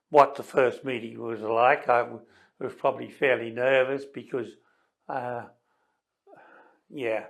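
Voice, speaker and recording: An older man reminisces in an interview in a deliberate, dry, matter-of-fact way. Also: mid-range voice, vocal fry, a bit bright. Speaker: service club member interviewed.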